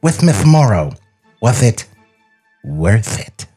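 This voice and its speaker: Man acting a sarcastic studio boss, exasperated, blunt, raspy, very animated delivery, comedy table read. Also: fairly low pitch, slow pace, soft background music, exaggerated voice. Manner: blunt, scolding, deadpan.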